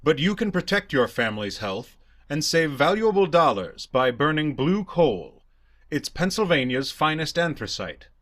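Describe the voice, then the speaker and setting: A man playing a dramatic 1930s radio announcer and sponsor's pitchman. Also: big pitch swings, normal speed, fairly bright tone. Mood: persuasive.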